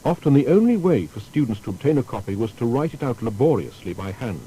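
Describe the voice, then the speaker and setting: A man's voice: mid-range voice, fast talker, low-quality recording. A man narrating a scripted historical biography in a formal, storytelling manner. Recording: steady faint background noise.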